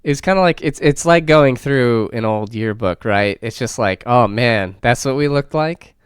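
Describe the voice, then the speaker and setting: A man's voice: medium pitch, normal speed, clear, resonant tone, dry recording, clean studio sound. A man co-hosting a podcast in casual chat, joking and teasing his partner. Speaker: podcast co-host.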